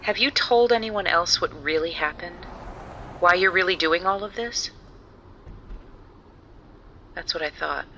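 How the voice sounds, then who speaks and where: A pleading, earnest wife. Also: female voice, lowish voice, unhurried, pauses often, sharp tone, muffled recording, slight background noise. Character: Maria, Jared's worried estranged wife. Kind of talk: audio drama acting.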